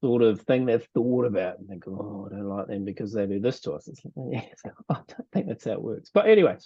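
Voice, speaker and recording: Low-voiced New Zealand host, dull dry audio. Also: male voice, animated, brisk, creaky, mellow, breathy edge, dry recording. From rural New Zealand. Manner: chatty, laughs often, trails off. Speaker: podcast host. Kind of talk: casual podcast chat.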